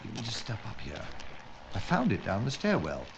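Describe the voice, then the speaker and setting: An actor playing a dry, composed British police inspector in a period audio drama, speaking in a formal, droll, measured way. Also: male voice, fairly low pitch, huge pitch swings, gravelly, background noise, full character voice. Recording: muffled, with noticeable background ambience.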